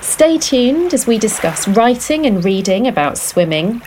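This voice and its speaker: A woman host reading a scripted introduction in a measured way, then asking interview questions. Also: a little high, sing-song, darkish tone, a little hiss or hum, rough recording. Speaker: podcast host.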